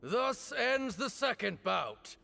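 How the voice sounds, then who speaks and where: Creaky, high-voiced ceremonial duel officiant. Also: male voice, big pitch swings, bright, forceful tone, slight background noise, full character voice. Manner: ceremonial, deliberate announcing. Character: formal male officiant presiding over a ritual duel. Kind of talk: video game voice acting.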